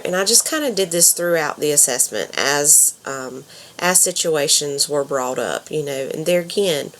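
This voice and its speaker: A young woman with a stuffy head cold talking casually as a counselor, hesitant with frequent ums and you knows. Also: low-pitched.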